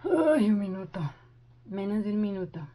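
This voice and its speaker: A young woman chatting excitedly in a casual vlog, thinking aloud as she goes. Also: mid-range voice, normal speed. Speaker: vlogger.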